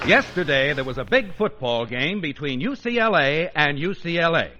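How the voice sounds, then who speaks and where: A man's voice: fairly high pitch, lively intonation, moderate pace, over loud music. A man narrating a 1950s radio comedy, on a muffled and noisy old recording. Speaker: radio announcer introducing a sketch.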